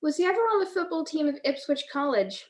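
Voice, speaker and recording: A young woman playing a wry, jealous, sharp-witted detective's wife in a radio drama, with an arch, teasing manner. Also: sits high, big pitch swings, quick pace. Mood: curious. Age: young adult.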